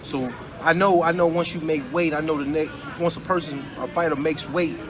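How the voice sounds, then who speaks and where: A man hosting a relaxed, joking interview, asking questions in a laid-back way. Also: on the high side, a little fast, crowd noise. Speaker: interview host. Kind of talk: casual interview chat.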